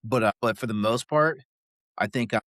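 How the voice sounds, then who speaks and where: A man's voice: on the low side, normal speed, fairly bright tone, no room sound, clean studio sound. A man co-hosting a podcast in casual discussion, hesitant and repeating phrases as he forms his thoughts. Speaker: podcast co-host.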